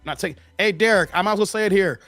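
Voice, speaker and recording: A hoarse man hosting a casual livestream, slangy and chatty, greeting viewers by name. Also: high voice, quick pace, fairly bright tone, no room sound, soft background music. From Chicago area, Illinois. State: hoarse, losing his voice. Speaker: livestream host.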